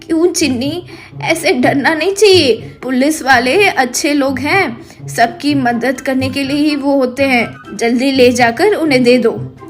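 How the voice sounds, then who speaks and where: A woman voicing a gentle, honest, ailing mother in an animated moral story, speaking in a kindly, instructive way. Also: high voice, a little slow, soft background music. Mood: gentle, firm.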